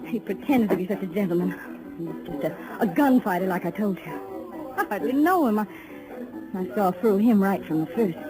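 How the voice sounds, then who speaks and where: An actress playing a scornful young frontier woman, sharp-tongued and folksy, in a 1950s radio western. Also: mid-range voice, lively intonation, normal speed, music in the background, lightly acted, poor audio. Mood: scornful, pleading.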